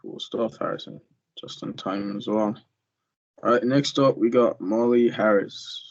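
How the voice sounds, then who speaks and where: Low, creaky-voiced man hosting casually. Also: steady tone, a little slow, lo-fi sound. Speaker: host introducing candidates.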